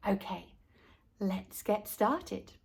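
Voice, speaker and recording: A woman hosting a storytime, reading a children's storybook aloud in a deliberate, expressive way and speaking directly to young listeners. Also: mid-range voice, gravelly, husky. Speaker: storytime host and narrator.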